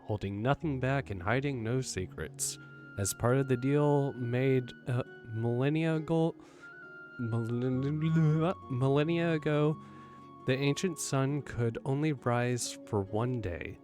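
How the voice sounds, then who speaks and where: A male game streamer with a medium-pitched voice. He reads and comments casually at a slow pace, with faint music behind him. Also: darkish tone. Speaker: game livestreamer. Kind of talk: reading story text aloud and casually commenting.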